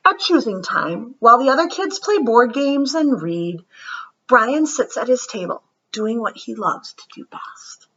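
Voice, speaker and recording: Female children's read-aloud host, bright and lively with a slightly husky edge, explanatory delivery, slightly dull lo-fi recording. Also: fairly high pitch, measured pace. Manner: explanatory, addressing young children. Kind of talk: expressive children's picture-book read-aloud.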